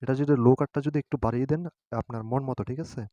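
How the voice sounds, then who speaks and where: A man with a mellow, relaxed voice at a middling pitch walks through an app step by step. The sound is dry and close. He talks informally and haltingly, often pausing to seek agreement. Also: no echo, lo-fi sound. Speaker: tutorial host.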